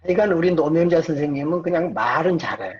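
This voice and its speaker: Guy teaching psychology, kinda high voice, chatty, asks questions then answers them himself, dull lofi sound. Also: animated, fast talker. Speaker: lecturer, psychology professor. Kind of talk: informal lecture to students, explanatory with rhetorical questions. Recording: dull, muffled lo-fi audio.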